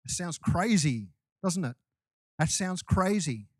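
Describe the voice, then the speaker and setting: A man's voice: high-pitched, lively intonation, slow, a little mellow, dry recording, clean studio sound. A man preaching a sermon to his congregation in a loose, conversational way, with restarts and asides. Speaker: pastor.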